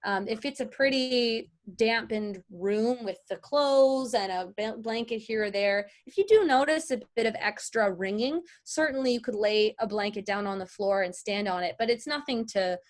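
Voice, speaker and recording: A woman's voice: fairly high pitch, normal speed, hardly any pauses. A woman teaching an informal online lesson, explaining and answering questions in a conversational way. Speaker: voice-over artist teaching a lesson.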